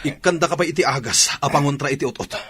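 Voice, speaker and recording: An actor playing a dutiful, worried soldier who is respectful and earnest in an Ilocano radio drama. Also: male voice, on the high side, fast talker, fairly bright tone, lightly acted. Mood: worried, urgent.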